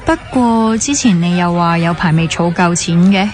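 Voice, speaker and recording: A woman's voice: lowish voice, moderate pace, darkish tone, some chatter behind, lightly acted. An actress as carefree young Hong Kong woman friend. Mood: bantering. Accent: Hong Kong Cantonese. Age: young adult. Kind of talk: radio drama acting. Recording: music playing behind the dialogue.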